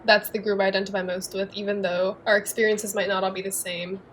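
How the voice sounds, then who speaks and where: A woman's voice: mid-range voice, level tone, fast talker. Young woman in an interview, casual, says like a lot, mid voice, bit of hiss. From United States. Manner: casual, frequent "like" fillers. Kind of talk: documentary interview.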